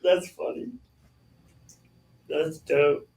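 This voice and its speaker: A man's voice: on the high side, flat delivery, extremely slow, vocal fry, a little mellow. A man hosting a podcast, chatting in a laid-back, slangy way full of "like". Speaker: podcast host. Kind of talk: casual interview chat. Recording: roomy echo, distant mic.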